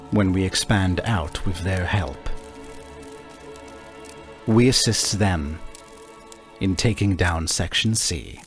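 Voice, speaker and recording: A man plays a calm leader in a tabletop game, formal and matter-of-fact. The low voice sets out terms slowly and precisely, with soft music behind it. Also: full character voice. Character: composed, concise leader of a city takeover. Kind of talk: tabletop roleplay character acting.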